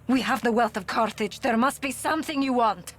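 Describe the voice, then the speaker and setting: A woman's voice: a little high, fairly quick, fairly bright tone. An actress playing a tough, indignant female arms dealer in a video game, blunt and demanding. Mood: demanding, irritated.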